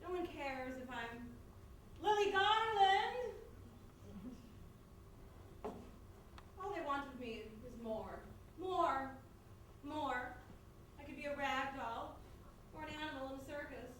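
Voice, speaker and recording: Self-dramatizing movie star, stage farce delivery, imperious and theatrical; distant, very echoey recording. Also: female voice, sits high, expressive, measured pace, fairly bright tone, a little hiss or hum. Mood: weary self-pity. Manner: melodramatic, repeats words for effect. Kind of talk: stage comedy reader's theatre acting.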